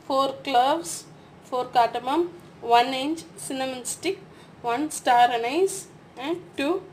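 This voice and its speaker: Slow, high-voiced woman cooking. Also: a bit bright, slight background noise. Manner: slow, step-by-step instructions. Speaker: cooking channel host. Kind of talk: recipe voice-over.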